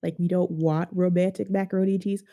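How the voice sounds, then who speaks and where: A congested woman with a nasal voice, pitched a little low and gravelly at the edges. She hosts a podcast in rambling, wry chat. Her tone is dark and mellow. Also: little pitch movement, fast. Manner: wry, rambling, laughs often. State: nasal from a sinus infection. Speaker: podcast host.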